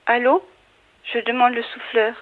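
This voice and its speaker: Woman caller, higher voice, muffled telephone sound, hesitant, compliant, short plain answers. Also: level tone, moderate pace. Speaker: prank call recipient. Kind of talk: phone conversation, hesitant answers and repetition. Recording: dull, muffled telephone line.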